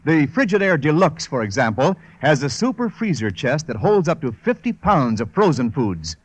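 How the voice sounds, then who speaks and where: Male vintage radio announcer: slightly high, swooping intonation, polished emphatic sponsor read. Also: very animated, moderate pace, slightly rough.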